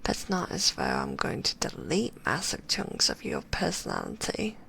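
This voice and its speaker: A woman voicing a possessive, sweetly manipulative female magician, whispering in a soft, cooing and softly condescending way. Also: low-pitched, huge pitch swings, moderate pace, gravelly, a little hiss or hum, full character voice. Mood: doting. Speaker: ASMR roleplay performer. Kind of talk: whispered ASMR roleplay.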